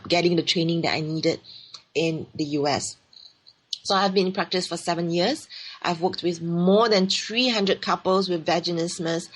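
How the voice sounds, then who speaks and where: A woman's voice: on the low side, breathy edge. A woman with a Singaporean accent hosting a radio talk show on her own, explaining earnestly and sometimes restarting her phrases. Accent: Singaporean English. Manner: earnest, explanatory, reads aloud then speaks freely with restarts. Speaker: radio show host and sexologist.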